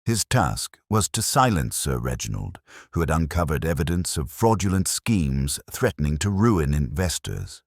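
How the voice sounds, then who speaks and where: Man voicing very deep, theatrical Victorian detective. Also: very animated, normal speed, gravelly, few pauses, no room sound, clean studio sound, heavily acted. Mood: composed, lecturing. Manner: theatrical, precise, declamatory. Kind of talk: audiobook detective story dialogue.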